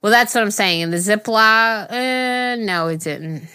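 A woman's voice: mid-range voice, measured pace, bright, forceful tone, clean tone, dry recording, studio quality. A woman co-hosting a podcast, chatting casually and rambling through restarts, with a slight tickle in her throat. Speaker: podcast co-host. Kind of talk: casual chat.

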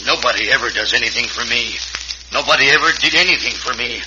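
A man's voice: mid-range voice, fast talker, lightly acted. Casting: man to play an unhinged, embittered would-be killer for radio western, brooding menace, muffled hissy vintage sound. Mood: bitter, raving. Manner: brooding, menacing, dragged-out threats. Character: deranged, bitter man bent on murder, paranoid. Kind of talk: old-time radio western acting.

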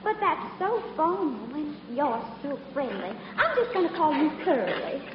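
High, sugary scheming southern belle. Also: female voice, sing-song, unhurried. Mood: coy, gushing. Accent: put-on American Southern. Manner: coy, gushing, theatrical. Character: scheming flirtatious movie actress faking a sugary southern belle. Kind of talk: mid-century American comedy film acting. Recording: echoey, dull old film soundtrack, noisy background.

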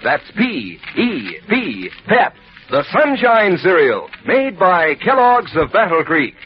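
A man's voice: high voice, huge pitch swings, slow pace, soft background music, heavily acted. A man delivering a scripted radio commercial in a cheerful, salesy manner. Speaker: sponsor spokesman. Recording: dull old radio recording.

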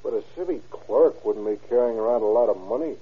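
A man's voice: mid-range voice, a little fast, breathy edge, lightly acted. An actor playing a laconic, businesslike policeman in an old-time radio crime drama, clipped and matter-of-fact. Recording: muffled old radio recording.

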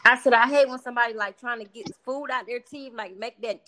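A woman's voice: a little high, fairly quick, sharp tone. A woman guest in casual chat, talking in a blunt, slangy, emphatic way. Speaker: interview guest.

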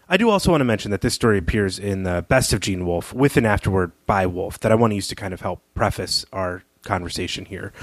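A man with a fairly low voice speaks as a literary podcast co-host. His delivery is measured and analytical, and the recording is dry with very little room sound. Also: no room sound. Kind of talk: literary discussion, partly reading aloud.

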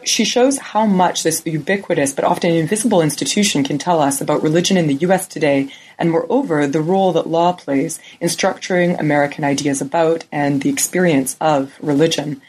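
A woman's voice: deep voice, a little fast, few pauses, a little mellow, husky, low-quality recording. A woman co-hosting an academic podcast, reading a scripted introduction and then chatting with her guest. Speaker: podcast co-host. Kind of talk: podcast interview.

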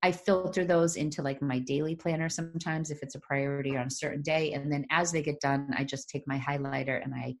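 Podcast co-host, woman in her 40s, very low voice with fry, chatty and rambling. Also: steady tone, brisk, hardly any pauses. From Massachusetts, USA. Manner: chatty, rambling, laughs often. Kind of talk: casual chat.

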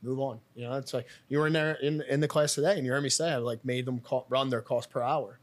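A middle-aged man with a Philadelphia accent talks shop as a podcast guest. He is blunt and rambling, looping back on himself with restarts, his voice at an ordinary middle pitch. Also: steady tone, fast. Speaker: real estate team leader, podcast guest. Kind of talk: casual interview chat.

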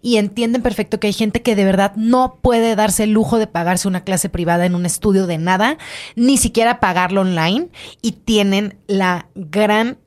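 A woman with a mid-range voice, hosting a casual interview. She chimes in often with agreement and asks her questions in a relaxed, conversational way. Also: medium pitch, normal speed. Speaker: interview host.

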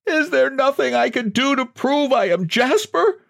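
A man's voice: sits high, expressive, moderate pace, creaky, pitched above the performer's own voice, exaggerated voice. A man voicing a desperate, timid, haggard man with a pleading, wailing manner in a story reading.

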